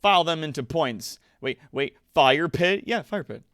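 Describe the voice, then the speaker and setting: A man's voice: on the high side, normal speed, dry recording, studio quality. A man hosting a livestream, chatting casually with viewers and swearing freely. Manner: sweary, animated asides. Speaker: livestream host. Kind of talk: casual chat with stream viewers. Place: indoor home streaming room.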